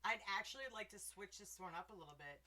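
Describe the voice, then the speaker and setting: A middle-aged woman hosting a casual podcast, a little drunk and slurring slightly, laughing loudly as she chats from her bed at home. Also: mid-range voice, quick pace, noisy background. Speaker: podcast host. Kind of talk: casual tipsy chat. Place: bedroom in a trailer home.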